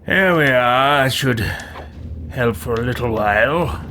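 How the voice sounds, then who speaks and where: A man's voice: mid-range voice, animated, a little slow, background noise, close to an everyday voice. A man voicing a haughty, easily outraged fantasy mage in a video game roleplay, with pompous, formal, theatrical diction. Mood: composed, formal.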